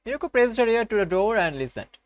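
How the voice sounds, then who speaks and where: A man's voice: high-pitched, very animated, fast talker. A man hosting a game playthrough, reading a visual novel aloud with casual commentary.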